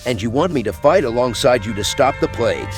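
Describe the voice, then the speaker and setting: A man playing a cold, haughty, distrustful elven supremacist mage in a fantasy game roleplay, speaking deliberately. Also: medium pitch, animated, natural voice. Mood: challenging, sceptical. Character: cold, vengeful elven supremacist mage who hates the Empire. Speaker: roleplaying player character. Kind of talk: scripted fantasy roleplay narration and dialogue. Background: background music.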